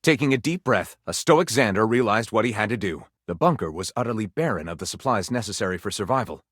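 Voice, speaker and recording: A man's voice: lowish voice, lively intonation, quick pace, a bit bright, no echo, studio quality. A man voicing a melodramatic game storyteller, narrating absurd pulp detective tales with theatrical, mock-serious drama. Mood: heroic drama. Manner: dramatic, mock-serious delivery with big swings.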